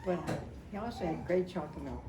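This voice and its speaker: Older woman, slightly low voice, chatty and halting personal storyteller, recorded with clear background noise. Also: expressive, roomy sound. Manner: halting, repeats phrases, chatty. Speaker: storyteller at a family memorial. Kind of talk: casual personal storytelling to a gathering.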